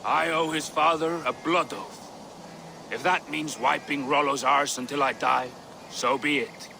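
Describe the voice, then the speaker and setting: A gravelly old Viking warrior. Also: male voice, fairly high pitch, steady tone, measured pace, background noise. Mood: blunt, defiant. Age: older. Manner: blunt, sardonic. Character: gruff, surly old Viking oath-sworn warrior. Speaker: video game character. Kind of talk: video game dramatic acting.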